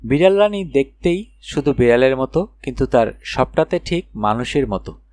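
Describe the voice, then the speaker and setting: A man narrating a children's fairy tale in an expressive storytelling manner with dramatic phrasing. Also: mid-range voice, moderate pace, no echo. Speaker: audiobook narrator.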